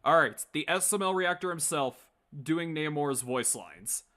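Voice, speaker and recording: A man's voice: fairly high pitch, animated, unhurried, pushed and bright. A man chatting casually to his viewers as a livestream host, joking around and swearing freely. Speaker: livestream host and comic dub creator. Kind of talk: casual rambling chat to viewers.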